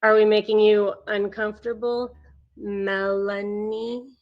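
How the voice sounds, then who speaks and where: A woman's voice: medium pitch, little pitch movement, takes it slowly, highs cut off, natural voice. A woman playing a bored, sarcastic, needling psych ward patient at a table read. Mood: mocking, taunting.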